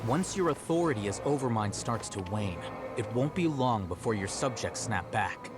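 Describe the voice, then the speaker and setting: A man voicing a cool, analytical, self-assured scholar in an anime video game, measured and articulate. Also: medium pitch, animated, close to an everyday voice. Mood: calm, lecturing. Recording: loud game music underneath.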